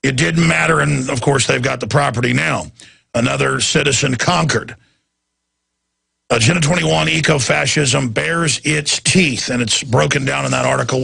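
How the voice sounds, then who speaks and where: A hoarse, raspy man hosting a radio talk show, straining his voice as he rants in indignant political commentary from a studio. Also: medium pitch, normal speed, bright, forceful tone, no echo. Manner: ranting, indignant.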